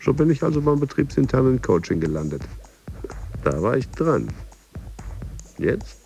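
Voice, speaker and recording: A man's voice: mid-range voice, sing-song, moderate pace, vocal fry, mellow, soft background music. An actor playing a stressed office worker in a radio play, confiding wry, brooding fragments about his fitness coaching. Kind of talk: radio play monologue, fragmented inner narration.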